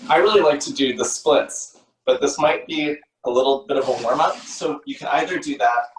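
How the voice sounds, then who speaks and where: A man's voice: mid-range voice, normal speed. A man, a dancer, explaining movements step by step, recorded from a distance in a roomy space. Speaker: ballet dancer demonstrating positions. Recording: roomy, distant mic with faint noise.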